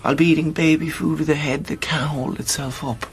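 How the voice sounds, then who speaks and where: A man's voice: a little high, steady tone, a little fast, soft background music, natural voice. Actor as dry, blunt young Irishman. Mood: grave, determined. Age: 30s. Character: thirty-year-old seriously ill man, blunt, sardonic, determined. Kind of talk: naturalistic radio play acting.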